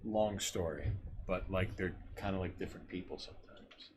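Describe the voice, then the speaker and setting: A man's voice: deep voice, normal speed, background noise. A man co-hosting a casual podcast, ranting with plenty of swearing and reading viewer names from a live chat. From New York. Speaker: podcast co-host.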